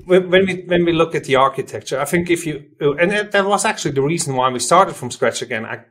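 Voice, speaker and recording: A man from Switzerland, a native German speaker with a Swiss German accent, explaining things informally and haltingly as an interview guest, often rephrasing himself. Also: on the high side, fairly bright tone. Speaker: software company representative, interview guest. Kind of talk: conversational product interview.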